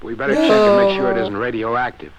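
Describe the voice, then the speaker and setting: A man's voice: sits high, very animated, fast talker, a crowd in the background. A young male test pilot in a vintage radio play, shaken but insistent. His earnest, dramatic voice swings widely, with other voices chattering over the old broadcast. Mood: urgent.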